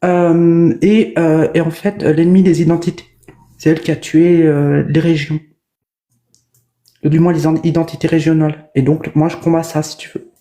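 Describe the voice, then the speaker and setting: A woman's voice: sits low, flat delivery, moderate pace, warm tone. A woman debating in a casual online discussion, hesitant and full of fillers and restarts. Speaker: debate guest.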